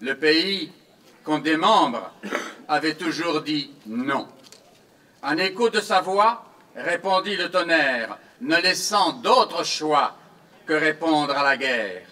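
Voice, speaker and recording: A man reciting his own commemorative poem in a solemn, theatrical, declamatory style with measured phrasing. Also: fairly high pitch, lively intonation, bright, forceful tone.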